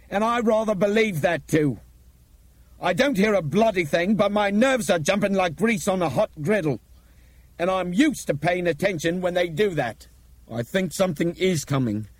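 A man voicing an urbane, forceful Englishman in an audiobook story. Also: on the low side, husky, dry recording, voice pushed up. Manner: commanding, clipped urgency with polite turns of phrase.

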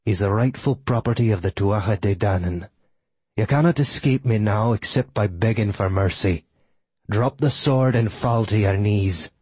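A man's voice: fairly low pitch, normal speed, a little mellow, slightly rough, dry recording. A man voicing an arrogant, wrathful god, a sneering, pompous and menacing villain in a fantasy audiobook. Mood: imperious threat.

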